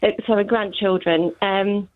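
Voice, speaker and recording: A woman's voice: medium pitch, fairly even intonation, unhurried. A woman from Poland with a Polish accent tinged by Leeds, calling a radio show and speaking hesitantly, with fillers. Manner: hesitant, with fillers and little laughs. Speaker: caller. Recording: phone line.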